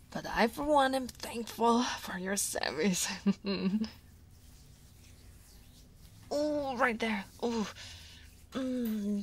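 A woman from Italy voicing a guarded but teasing female dragon office senior in a soft, breathy ASMR roleplay. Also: medium pitch, a little hiss or hum. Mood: relaxed, pleased. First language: Italian. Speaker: voice actor.

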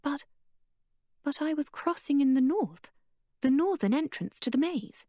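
A woman voicing an eager, determined young woman, her voice pitched up, breathless and urgent. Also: lively intonation, measured pace, dark tone, no echo, studio quality.

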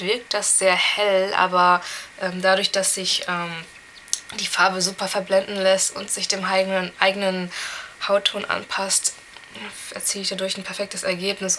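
A young woman in her twenties, a little hoarse from a cold, reviewing products in a chatty, candid way with many fillers, recorded on a camera mic in a small room. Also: mid-range voice, noisy background. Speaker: beauty vlogger.

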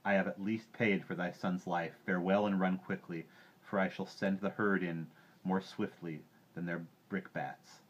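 A man's voice: sits low, level tone, moderate pace, husky, highs cut off. A man voicing a proud, scornful boy raised by wolves in a storybook, bold and commanding in archaic phrasing. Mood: urgent.

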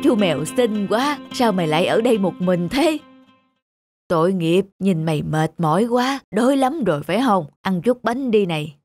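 A woman's voice: medium pitch, expressive, normal speed, a little mellow, natural voice. Woman as kindly old man, cartoon drama, sympathetic, lonely, music in the background. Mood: tender pity. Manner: tender, sympathetic. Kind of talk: animated drama dubbing.

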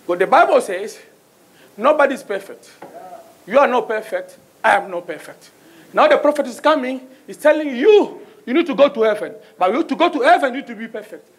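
A man's voice: very high voice, animated, brisk, breathy edge. A middle-aged man with a French accent preaching a sermon from the pulpit of a church and calling out "Amen?" to the congregation. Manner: call-and-response, asks the congregation "Amen?". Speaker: guest preacher. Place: church sanctuary, small stage with pulpit. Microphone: handheld mic at pulpit.

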